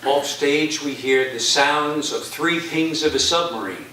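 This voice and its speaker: Unhurried man in a very echoey room. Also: fairly high pitch. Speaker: reader of stage directions. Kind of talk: narrating stage directions at a reading. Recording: echoey room from far off, noisy.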